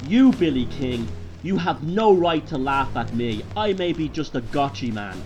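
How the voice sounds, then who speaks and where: A man's voice: on the high side, expressive, measured pace, darkish tone, faint music behind. A man voicing a defiant Dublin underdog in a told story, boastful and indignant, declaiming scornfully at those who mock him. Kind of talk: dramatic storybook character voice.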